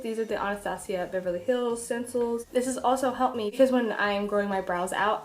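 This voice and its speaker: A woman's voice: mid-range voice, darkish tone. Young female beauty vlogger, nasal and congested with a cold, informal and chatty, recorded in a roomy, echoey space. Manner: chatty, self-deprecating, informal. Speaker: beauty vlogger, makeup artist. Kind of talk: casual get-ready-with-me makeup tutorial.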